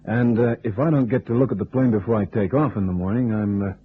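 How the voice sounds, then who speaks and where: An actor playing a wisecracking, cool American test pilot with a laconic manner in a radio detective drama. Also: male voice, lowish voice, fast talker, husky. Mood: dry, casual. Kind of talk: old-time radio detective drama acting. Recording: muffled, narrow old radio recording.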